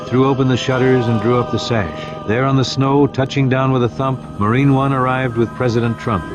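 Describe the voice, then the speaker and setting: A man narrating a rhyming storybook tale in a measured, theatrical scripted style. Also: mid-range voice.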